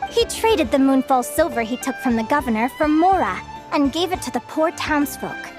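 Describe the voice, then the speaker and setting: An actress playing a gentle, scholarly young woman in a game, telling stories in a measured, articulate and enthusiastic way. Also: high-pitched, big pitch swings, over music. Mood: storytelling, composed. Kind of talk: storytelling in an English game dub.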